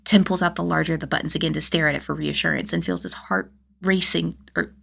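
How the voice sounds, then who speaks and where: A woman reading a story aloud as an expressive scripted narration, with a slightly husky voice. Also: deep voice, quick pace. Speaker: audiobook-style narrator of fan fiction.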